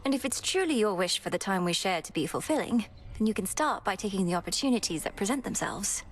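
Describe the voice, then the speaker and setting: An actress playing a poised young goddess princess in a video game, formal and composed, earnest and guardedly fond. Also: female voice, medium pitch, lively intonation, fast talker, natural voice. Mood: composed, caring. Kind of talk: scripted video game romance dialogue.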